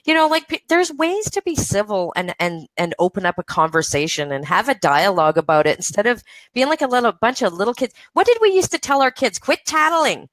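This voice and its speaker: A woman's voice: medium pitch, sing-song, a little fast, no room sound, low-quality recording. A woman co-hosting a casual talk show, teasing her co-host with short wry remarks. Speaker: podcast co-host. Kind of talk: casual chat.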